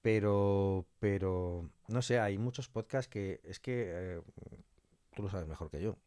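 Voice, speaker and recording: Guy with a spain accent, lowish voice, super dry sound, casual podcast chat, repeats stuff to make a point. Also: measured pace, dark tone, smooth, no echo. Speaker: interview guest, audio fiction scriptwriter.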